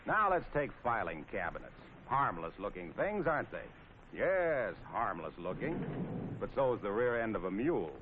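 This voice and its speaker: A man's voice: fairly low pitch, very animated, moderate pace. An American man narrating in 1940s training film style, wry, folksy and playfully sarcastic. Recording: scratchy, tinny 1940s film soundtrack with noise.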